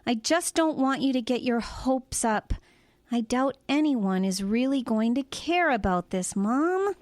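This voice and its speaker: A woman's voice: fairly high pitch, lively intonation, unhurried, background noise. An actress playing an exasperated, anxious adult daughter and protective mother, scolding her way through an audio comedy drama. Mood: weary, discouraging.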